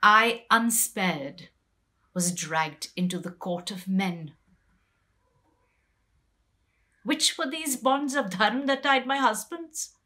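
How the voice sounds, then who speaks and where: A middle-aged woman voicing a wronged, bitter and sorrowful mythical queen in a measured, deliberate dramatic poetry recitation with hushed phrases. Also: medium pitch. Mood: anguished, indignant. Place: small plain room indoors.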